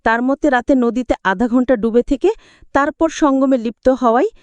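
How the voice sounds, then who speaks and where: Female movie-recap narrator, smooth and resonant, dry studio sound, dramatic scripted storytelling. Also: sits high, normal speed, darkish tone, no room sound, dull audio.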